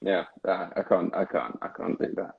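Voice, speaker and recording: Husky man bantering on a lo-fi stream. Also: deep voice, normal speed. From grew up French-speaking, lives in New York. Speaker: guest on a live stream. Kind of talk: casual chat, bantering. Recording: dull, lo-fi live stream audio.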